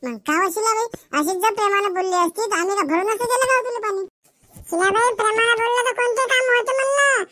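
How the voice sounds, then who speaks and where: A woman's voice: very high voice, fast, bright, forceful tone. A woman voicing a quarrelsome village housewife in a comic cartoon, scolding and arguing in a rural Varhadi Marathi accent. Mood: insistent. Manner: scolding, argumentative. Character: quarrelsome village housewife complaining at the water tap.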